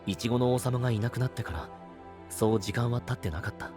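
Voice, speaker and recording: A male actor playing a gentle, responsible young knight in an audio drama, soft-spoken and earnest. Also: mid-range voice, fast, over music, lightly acted. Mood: somber explaining. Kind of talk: Japanese anime-style audio drama acting.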